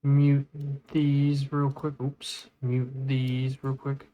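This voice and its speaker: A man giving a casual step-by-step software tutorial, informally thinking aloud as he works. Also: medium pitch, completely flat delivery, drawn-out words, low-quality recording. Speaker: tutorial creator. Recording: clear background noise, mouse clicks.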